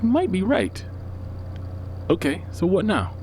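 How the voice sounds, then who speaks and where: An actor playing a brash, cheeky, excitable sidekick in an audio drama, with slangy, expressive delivery. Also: male voice, high voice, measured pace, slight background noise, heavily acted. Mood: casual. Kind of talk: naturalistic audio drama acting.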